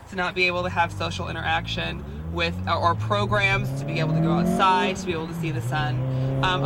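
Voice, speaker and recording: A woman with a soft, feminine voice giving an earnest, hesitant speech at an outdoor rally, with frequent ums. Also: on the low side. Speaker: rally speaker. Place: outdoors at a rally near roadway. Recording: outdoor rally with traffic noise. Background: passing cars.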